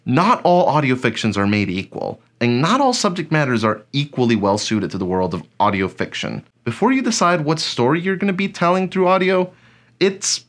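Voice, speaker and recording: A man's voice: medium pitch, fairly bright tone. A man giving scripted instructional narration as a course teacher, conversational and fond of rhetorical questions and acted-out examples. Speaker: instructor of an online audio drama course.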